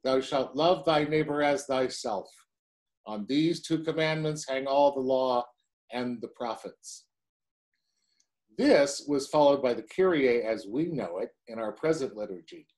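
A man's voice: mid-range voice, a little slow, a little mellow. A man in his sixties, a pastor, preaching a sermon from home to a webcam in a chatty way, with wry asides.

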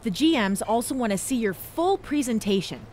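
An actress playing a female wrestling trainer who briefs in a brisk, informative way. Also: fairly high pitch, lively intonation, darkish tone, a little hiss or hum, natural voice.

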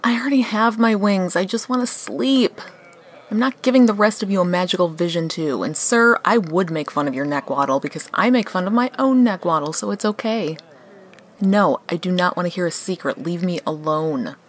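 A woman's voice: on the low side, normal speed, natural voice. A woman playing a weary, flippant atheist angel with a dry sarcastic manner in a comic radio play. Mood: exasperated.

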